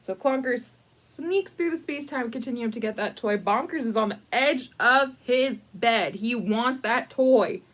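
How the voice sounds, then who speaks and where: A woman hosting a casual storytime, chatty and informal, with playful, dramatic emphasis and drawn-out words as she tells a picture-book story. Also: on the high side. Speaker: librarian storytime host.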